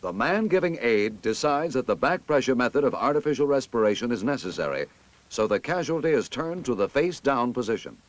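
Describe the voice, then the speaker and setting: American man narrating, animated instructional delivery. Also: medium pitch, fairly quick. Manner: authoritative, instructive, clearly enunciated. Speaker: narrator of a military training film. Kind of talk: scripted instructional narration, vintage army training film.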